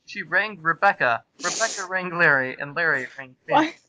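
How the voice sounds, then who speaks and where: Guy reading fanfic as narrator, very bright forceful voice, kinda high, hammy and amused. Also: lively intonation, moderate pace, rough recording. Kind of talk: comic dramatic reading of amateur fan fiction.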